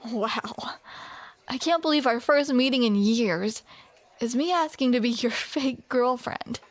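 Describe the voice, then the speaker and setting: A woman's voice: fairly high pitch, measured pace. A young woman performing a scripted romantic comedy audio roleplay, flustered and playful, often breaking into awkward laughs.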